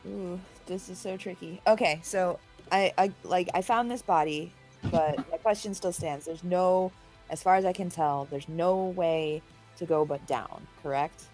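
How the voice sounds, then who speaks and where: A woman's voice: fairly low pitch, a little slow, a little mellow, poor audio. A woman chatting casually as a player in a role-playing game, questioning and thinking aloud. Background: music in the background.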